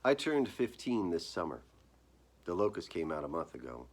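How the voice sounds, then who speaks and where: A man with an exceptionally deep voice reads his fiction aloud. The tone is husky and rough-edged, and he phrases things deliberately, like an author presenting his own work. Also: sing-song. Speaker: author reading own short story. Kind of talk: dramatic live reading of literary horror fiction.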